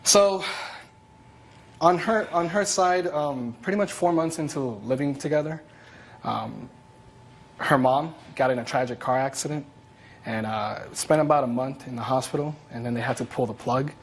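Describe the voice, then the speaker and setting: A man's voice: medium pitch, normal speed, slight background noise. A young man from the Dominican Republic, the plaintiff in a TV court case, telling his story in a rambling way. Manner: rambling, many fillers and restarts. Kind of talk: courtroom testimony.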